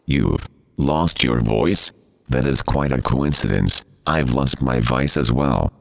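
A synthetic computer voice playing a formal, easily flustered male interviewer, very deep and gravelly. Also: takes it slowly, fairly bright tone, full character voice. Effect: computer text-to-speech voice. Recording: dull, muffled audio.

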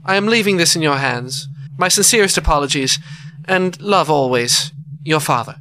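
Man acting high-voiced, remorseful confessing father. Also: lively intonation, moderate pace, sharp tone, poor audio. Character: ashamed, regretful father confessing to his child. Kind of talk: audio drama acting.

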